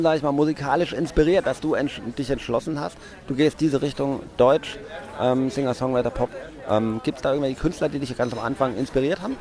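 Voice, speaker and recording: A man's voice: medium pitch, a little mellow. A man interviewing a musician outdoors, asking questions with lots of hesitations and fillers.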